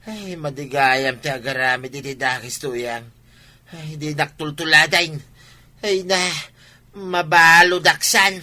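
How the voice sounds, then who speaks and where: Sharp, bright-voiced pleading Ilocano villager. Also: male voice, a little high, slow pace, heavily acted. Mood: pleading, agitated. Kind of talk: radio comedy drama acting.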